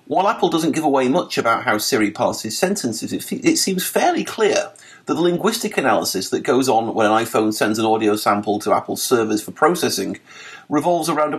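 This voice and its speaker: A man narrating a written article for a podcast, reading with a lively, articulate delivery and dry wit. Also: mid-range voice.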